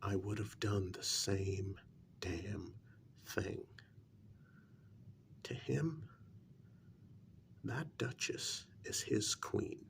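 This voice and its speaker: A man playing an intense, devoted human familiar in a soft-spoken ASMR role-play, speaking in a slow, hushed, deliberate half-whisper with gravel in it. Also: on the low side, slight background noise, full character voice. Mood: devoted, reassuring.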